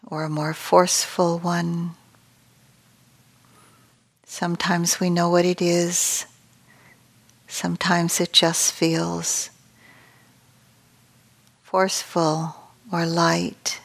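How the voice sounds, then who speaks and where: Slow, even-toned woman guiding meditation. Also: fairly low pitch, vocal fry. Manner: gentle, unhurried with long pauses. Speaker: meditation teacher. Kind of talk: guided meditation.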